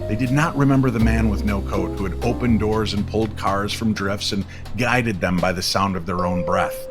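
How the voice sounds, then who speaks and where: A man reading a scripted story in an old-time radio style, measured and unhurried with dramatic pauses. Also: lowish voice. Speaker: co-narrator of audio story. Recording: faint music under the voice. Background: soft music bed.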